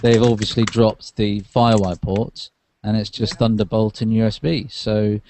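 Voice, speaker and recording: Mellow-voiced man chatting about tech. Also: on the low side, level tone, moderate pace, a little hiss or hum. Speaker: podcast co-host. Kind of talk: casual tech chat.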